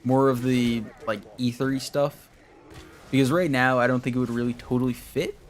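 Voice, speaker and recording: A man's voice: mid-range voice, steady tone, normal speed, slight background noise. A young man streaming a game, chatting casually with his viewers in a rambling way while he plays. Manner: rambling, talks to chat while playing.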